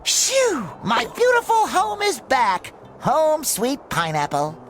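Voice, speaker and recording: An actor playing a cheerful, naive, eager young sea sponge in a cartoon video game, earnest and excitable. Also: male voice, extremely high pitch, takes it slowly, background noise, full character voice. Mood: happy excitement. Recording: loud music behind voice.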